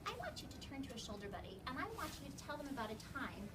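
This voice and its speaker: A woman with a fairly high voice reads aloud to a class of young children as their teacher. She explains in an instructive way and often checks understanding with questions. The recording is distant, with clear classroom noise around her. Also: very animated, racing. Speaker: elementary school teacher. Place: classroom, recorded from across the room. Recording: distant mic, noisy classroom background.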